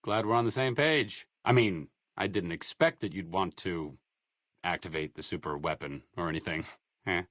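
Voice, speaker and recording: A dry, sarcastic wasteland doctor. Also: male voice, low-pitched, moderate pace, a bit bright, no echo. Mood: wry. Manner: dry, sarcastic, self-deprecating wit. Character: sardonic bookish male wasteland doctor, adult. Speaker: game companion character. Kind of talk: video game voice acting.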